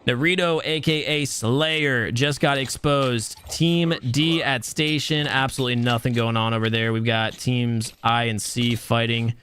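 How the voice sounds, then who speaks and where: A young man hosting and casting a live mobile game tournament, chatty and talking to his chat in a soothing, relaxed voice. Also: mid-range voice, measured pace, hardly any pauses, slight background noise. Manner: chatty, talks to chat, restarts words. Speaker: tournament host and caster. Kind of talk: live stream esports commentary.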